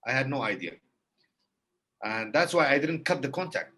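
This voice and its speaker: A man's voice: mid-range voice, very animated, normal speed, sharp tone. Earnest man. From Iraq. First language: Kurdish. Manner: earnest and emphatic, repeating phrases for effect. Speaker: guest. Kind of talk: heartfelt conversation.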